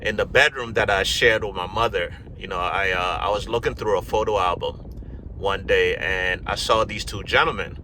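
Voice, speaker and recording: A deep-voiced man from Ghana, middle-aged, telling his own story to a camera. His tone is bright and forceful, with easy fillers and restarts as he rambles along. There is a faint hiss of noise behind him. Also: normal speed, poor audio. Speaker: YouTube vlogger. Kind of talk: casual personal storytelling to camera.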